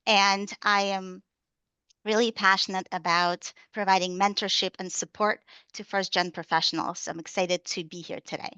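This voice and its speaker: Woman, native Russian speaker, career coach on a panel; bright, crisp tone, dry close sound. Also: medium pitch, steady tone, moderate pace, dry recording, highs cut off. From former USSR. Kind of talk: panel self-introduction.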